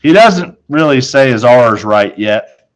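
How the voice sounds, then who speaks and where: A man reads a children's story aloud as its narrator. His voice is mid-pitched, and he takes the scripted first-person telling slowly and deliberately. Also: lively intonation.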